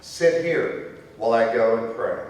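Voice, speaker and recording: A man playing Jesus as a solemn teacher in a dramatic church scripture reading, speaking in a measured, declamatory way. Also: medium pitch, darkish tone, a little hiss or hum, close to an everyday voice. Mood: sorrowful, prayerful. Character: Jesus, solemn male teacher foretelling his betrayal. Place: church during a service. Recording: very echoey church hall, distant microphone.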